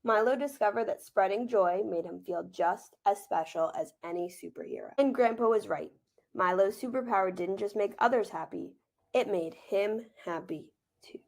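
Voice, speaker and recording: A woman reading a children's picture book aloud as author and teacher, gentle and expressive, with informal asides. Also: mid-range voice, normal speed, vocal fry.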